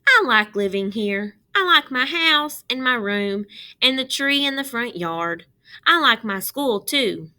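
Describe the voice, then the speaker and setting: A woman's voice: a little high, animated, a little slow, bright, forceful tone, voice pushed up, full character voice. A woman voicing a sincere, thoughtful young schoolgirl in a storybook, speaking simply and earnestly with emphasis. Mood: content. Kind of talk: storybook read-aloud.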